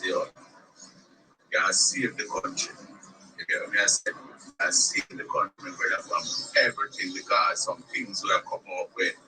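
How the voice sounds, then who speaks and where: A Jamaican man telling a story in a relaxed way on a voice note. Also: low-pitched, distant mic, low-quality recording. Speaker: caller.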